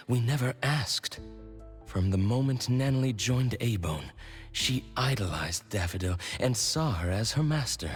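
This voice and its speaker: A male actor playing a calm, thoughtful companion in a video game. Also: fairly low pitch.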